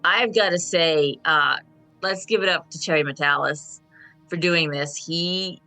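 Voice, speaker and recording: Bright-voiced, emphatic woman in her fifties. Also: deep voice, measured pace, dry recording, faint music behind. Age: 50s. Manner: emphatic, repeats phrases for stress. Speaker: panel guest. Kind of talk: casual fan discussion.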